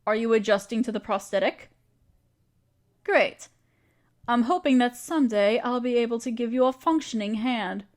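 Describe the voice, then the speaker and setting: A woman playing an earnest, caring necromancer in a scripted audio roleplay, speaking directly to the listener in a conversational, reassuring way. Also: fairly high pitch. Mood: attentive, clinical. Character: earnest, caring female necromancer with a grand plan.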